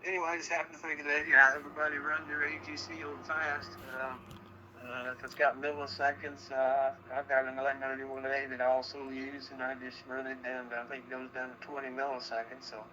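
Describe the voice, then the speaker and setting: A man giving halting, rambling technical chat as an amateur radio operator over digital radio. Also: medium pitch, flat delivery. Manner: rambling, halting, thinking aloud. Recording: noisy narrow-band digital radio reception.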